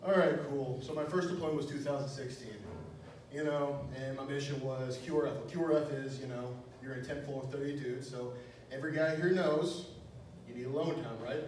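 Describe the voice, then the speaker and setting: A man's voice: medium pitch, fairly even intonation, fairly quick, a little mellow. A young man from Wisconsin performing a stand-up comedy set, telling crude, self-mocking jokes with a lot of "you know". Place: small club stage, echoey room. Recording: echoey room, distant mic, faint noise.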